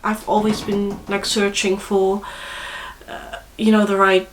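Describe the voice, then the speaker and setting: A woman's voice: mid-range voice, completely flat delivery, a little slow, roomy sound, rough recording. A young woman from the Netherlands with a Dutch accent, speaking with a cold in a chatty, hesitant vlog to camera. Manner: chatty, hesitant, many fillers and restarts. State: congested with a cold. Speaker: vlogger. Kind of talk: casual chat to camera.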